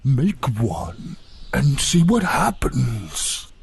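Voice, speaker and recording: A man playing a kindly, sage snow creature in a fantasy audio story, with a measured, avuncular manner. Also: medium pitch, big pitch swings, gravelly, slightly rough, heavily acted. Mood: pleased, encouraging. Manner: measured, avuncular, old-fashioned wording.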